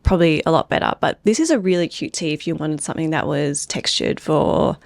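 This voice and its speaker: Woman, knitwear designer, lower register, casual and rambling, slightly phlegmy, dry close sound. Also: fairly quick, vocal fry, no echo. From Australia. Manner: chatty, rambling, many filler "like"s. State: phlegmy throat from a cold. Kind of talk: casual pattern review chat.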